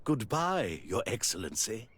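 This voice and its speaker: An actor playing an officious, wily minister of trade in a video game, with a pompous, obsequious and deliberate manner. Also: male voice, on the low side, sing-song, a bit bright, a little hiss or hum. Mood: obliging. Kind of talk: video game cutscene acting.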